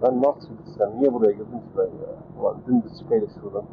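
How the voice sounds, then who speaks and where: A man's voice: lowish voice, fairly quick. A man vlogging casually from his motorcycle, chatting and muttering to himself as he rides through town. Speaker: motovlogger. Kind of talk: casual riding commentary. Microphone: AirPods earbuds under helmet. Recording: muffled earbud mic with loud road noise. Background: engine and traffic noise.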